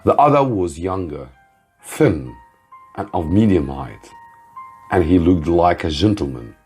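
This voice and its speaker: A low-pitched man reads a story aloud in the manner of an English teacher. His voice is husky and his pace is slow, with careful pauses between phrases. Music plays behind him. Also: lively intonation, darkish tone. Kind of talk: slow scripted story narration for learners.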